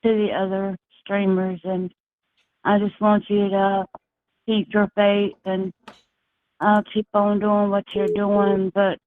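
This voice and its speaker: A soft-spoken woman from Texas calls in over a tinny phone line. Her flat, even delivery is earnest and halting, full of small pauses. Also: medium pitch. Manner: earnest, halting, many fillers. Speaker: caller.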